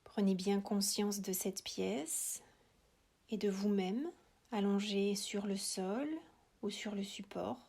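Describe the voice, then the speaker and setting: A woman guiding a relaxation meditation in a soothing, hushed voice, with short phrases, long pauses and breathy, whispered endings. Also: mid-range voice, fairly even intonation. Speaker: yoga nidra relaxation guide.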